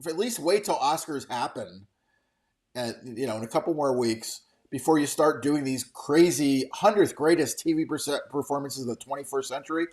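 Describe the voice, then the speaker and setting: A man's voice: medium pitch, normal speed, a little mellow, poor audio. Rambling, opinionated middle-aged man. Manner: rambling, opinionated, casually profane. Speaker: host of a daily show commenting on entertainment trade news. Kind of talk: off-the-cuff solo commentary.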